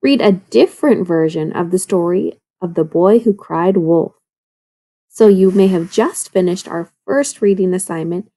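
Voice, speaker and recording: Woman, primary teacher, soft dark tone, creaky, explanatory, read-aloud lesson for young children. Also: mid-range voice. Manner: explanatory, addressing pupils directly. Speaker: second-grade teacher.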